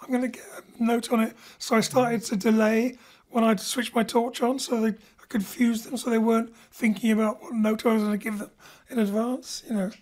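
A woman's voice: a little high, flat delivery, a little fast, darkish tone. A woman director hosting an informal post-show talk about an audio drama series. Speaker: director and host. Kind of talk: hosted post-show chat.

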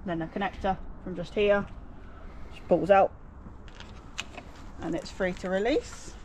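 A woman's voice: medium pitch, fairly even intonation, unhurried, a little mellow. Chatty British woman, noisy outdoor recording. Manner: chatty, jokey, self-deprecating, playful slang. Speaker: vlogger presenting a car DIY guide. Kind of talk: casual hands-on tutorial. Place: residential street, outside and inside a car.

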